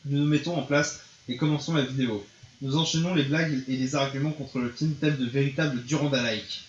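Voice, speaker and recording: A man's voice: medium pitch, steady tone, a little fast, a little hiss or hum. A man reading game dialogue and narration aloud as a streamer, with a theatrical manner and occasional stumbles over the lines. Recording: muffled, roomy, faint music behind.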